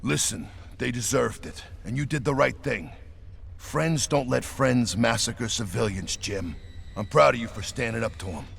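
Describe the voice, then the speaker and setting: Easygoing crewman, very creaky mid-pitched voice, slangy, game dialogue. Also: moderate pace, a bit bright, a little hiss or hum. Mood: supportive. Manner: chatty, informal. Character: easygoing, friendly, slangy male spaceship crewman.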